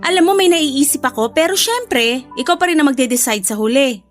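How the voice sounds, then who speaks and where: A woman's voice: sits high, animated, brisk, faint music behind. A woman playing a blunt, protective best friend with a sassy, scolding manner in an audio drama. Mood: blunt, teasing.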